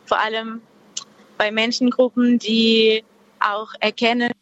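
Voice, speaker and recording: A woman's voice: a little high, flat delivery, a bit bright, no echo. Thoughtful young woman. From Peru. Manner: reflective, slow, hesitant with many fillers. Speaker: interviewee. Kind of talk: casual conversation. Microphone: video call. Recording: dull lo-fi video call audio.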